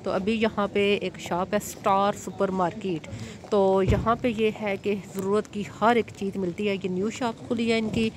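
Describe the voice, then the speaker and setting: A middle-aged woman vlogging on a phone camera, chatting as she walks through a shop and speaking softly and prayerfully at home. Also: mid-range voice, moderate pace, slight background noise. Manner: chatty and lively walking around a shop, then soft and prayerful at home. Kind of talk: casual vlog then devotional closing. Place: street and supermarket, then indoors at home.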